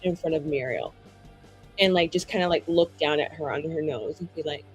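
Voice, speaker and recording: A woman's voice: low voice, fairly quick, husky, faint music behind, low-quality recording. A woman chatting and narrating casually as a player in a tabletop roleplaying game, informal and playful.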